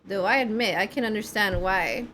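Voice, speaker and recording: A woman voicing a brooding, disillusioned young man from a game, in grave, measured, formal phrasing. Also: mid-range voice, bright, forceful tone, slight background noise, lightly acted. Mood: grave, bitter. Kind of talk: reading game cutscene dialogue aloud.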